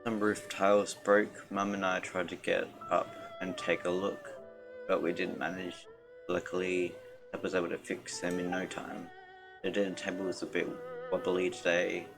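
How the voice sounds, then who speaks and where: A male game streamer with a deep, creaky voice reads on-screen text aloud. His delivery is low-key, flat and halting, with game music clearly playing behind him. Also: rough recording.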